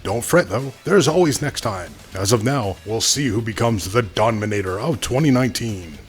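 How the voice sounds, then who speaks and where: A man's voice: on the low side, lively intonation, normal speed, soft background music, lightly acted. A man playing a folksy, opinionated wrestling co-commentator, delivering scripted sports commentary. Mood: composed.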